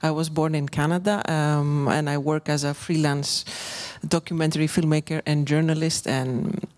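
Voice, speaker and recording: A woman from Greece speaks on a conference panel. She works as a documentary filmmaker and journalist, and she talks in a measured way, pausing often with fillers and restarts. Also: low-pitched, steady tone, darkish tone. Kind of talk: panel discussion talk.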